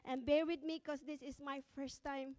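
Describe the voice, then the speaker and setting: High-voiced Filipino woman pastor preaching. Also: flat delivery, moderate pace, darkish tone. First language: Ilocano. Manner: nervous, laughing, prayerful. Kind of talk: sermon.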